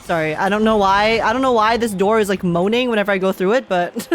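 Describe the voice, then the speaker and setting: A young woman giving a casual, jokey, slangy live game reaction, animated, with some music behind her. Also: medium pitch, fairly quick. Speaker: gaming streamer.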